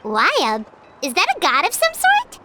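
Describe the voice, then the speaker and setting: Tiny squeaky fairy sidekick girl, dramatic, swoopy and slow-ish, loud game music behind. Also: huge pitch swings, heavily acted. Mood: curious. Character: tiny excitable childlike female fairy guide companion. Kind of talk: video game voice acting.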